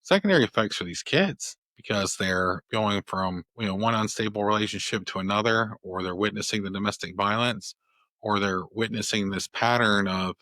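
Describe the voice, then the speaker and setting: A man's voice: on the low side, normal speed, bright, forceful tone, dry recording. A male attorney hosting a podcast interview, talking thoughtfully with many restarts. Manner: thoughtful, rambling with many restarts and "you know". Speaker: podcast host, family law attorney. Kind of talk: conversational interview.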